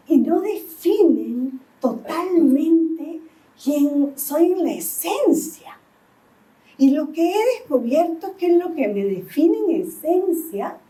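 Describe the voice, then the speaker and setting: A woman's voice: very high-pitched, warm tone, distant mic, poor audio. A middle-aged woman from Lima with a Peruvian accent, preaching a sermon as pastor and often asking the congregation "¿sí?". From Peru, Lima. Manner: asks the congregation "¿sí?" again and again. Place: church.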